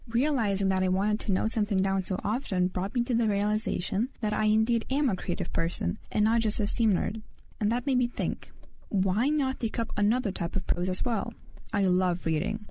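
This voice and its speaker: A teenage girl hosts her own podcast in English, her second language, with a Lithuanian accent. Her voice is soft and mellow, with a dark tone. She reads a prepared script in an earnest way. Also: mid-range voice, fairly quick, a little hiss or hum. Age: teens. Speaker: podcast host. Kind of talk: scripted solo podcast monologue.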